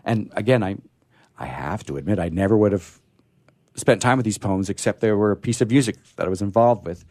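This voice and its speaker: A man reading poetry aloud in a measured way and commenting on it casually, as on a radio program. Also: fairly low pitch, darkish tone.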